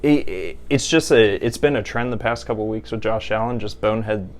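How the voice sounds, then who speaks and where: Lowish-voiced man hosting a football chat. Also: a little fast. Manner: stammering restarts, opinionated, keeps voice down for sleeping child. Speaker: host. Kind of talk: casual sports chat. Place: home at night.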